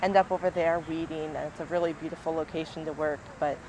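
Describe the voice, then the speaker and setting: A woman talks earnestly about organizing volunteers. Her voice is low and easygoing. A faint hiss sits under the outdoor recording. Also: steady tone, fast talker, darkish tone, rough recording. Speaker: volunteer coordinator. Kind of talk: interview.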